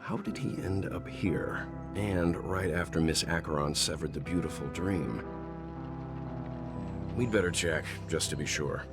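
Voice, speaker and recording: Casting: mature game investigator, deep register, deliberate and courteous delivery, composed temper. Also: male voice, over loud music. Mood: calm, curious. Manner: measured, deliberate, formal phrasing. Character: composed, thoughtful mature man, an investigator-traveller. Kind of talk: video game voice acting.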